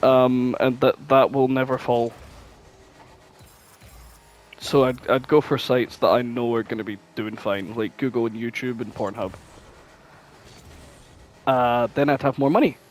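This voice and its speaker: A man's voice: mid-range voice, a little slow, long pauses. A man co-hosting a podcast in casual chat, rambling and swearing freely as he spins out his thoughts. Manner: rambling, sweary, animated storytelling. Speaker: podcast co-host.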